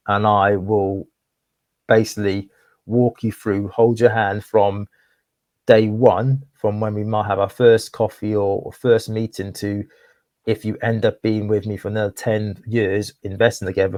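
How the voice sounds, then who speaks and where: Candid young man, low dry voice. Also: darkish tone, no room sound. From Wales. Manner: candid, rambling, repeats words. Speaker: guest, property investor. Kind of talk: casual interview conversation.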